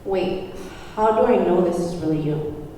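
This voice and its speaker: A woman's voice: lowish voice, normal speed, warm tone, close to an everyday voice. A woman playing an anxious but determined housewife at a screenplay table read, recorded from afar in an echoey room. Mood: guarded, hesitant. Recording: distant echoey room, faint noise.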